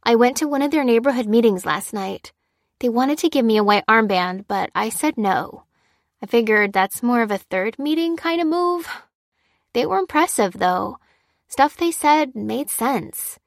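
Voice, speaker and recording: A woman's voice: on the high side, lively intonation, unhurried, no room sound, studio quality. A woman voicing a frank, probing female friend who talks casually and with opinions in an audiobook. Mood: matter-of-fact, impressed.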